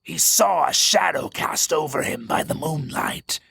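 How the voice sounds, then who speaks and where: A man narrating a scripted story in a suspenseful, theatrical way, with a gravelly, breathy voice. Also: a little high, a little slow, over music.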